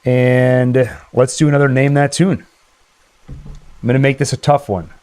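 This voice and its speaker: A man's voice: medium pitch, little pitch movement, a little slow, a little mellow. A man hosting a livestream party, chatting casually, reading out the chat and running trivia. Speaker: livestream party host and quizmaster.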